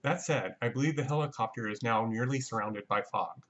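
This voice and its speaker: Measured man narrating, slightly dull sound. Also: medium pitch, a bit bright. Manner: measured, matter-of-fact, technical. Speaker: narrator of a flight reconstruction. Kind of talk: scripted explanatory narration.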